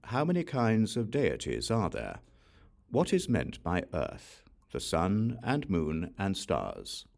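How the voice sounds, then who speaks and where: Deep, slow-paced man reading sacred verse. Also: huge pitch swings, gravelly, a little mellow. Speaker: audiobook reader. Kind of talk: scripted scripture narration, reciting verse questions.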